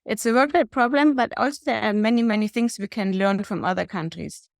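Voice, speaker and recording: A middle-aged woman from Germany with a German accent, a healthcare executive and lecturer answering interview questions in a measured, earnest way. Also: medium pitch, gravelly, no echo, clean studio sound.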